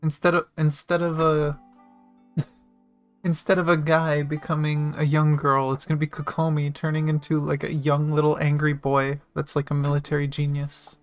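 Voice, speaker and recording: A woman's voice: low voice, level tone, normal speed. A woman giving casual, joking and blunt commentary as she livestreams a game. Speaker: game streamer. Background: music behind the voice.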